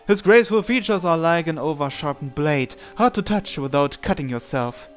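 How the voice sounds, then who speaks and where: Higher-voiced man reading a visual novel aloud. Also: lively intonation, moderate pace, faint music behind. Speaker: gamer hosting a visual novel playthrough. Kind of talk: reading game text aloud with casual commentary.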